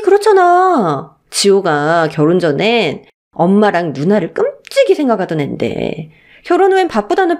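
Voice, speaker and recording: A woman's voice: on the high side, a little mellow, pitched above the performer's own voice. A woman voicing a snide, envious older sister-in-law, sarcastic and falsely refined, in a radio story drama. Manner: sarcastic, passive-aggressive, drawn-out.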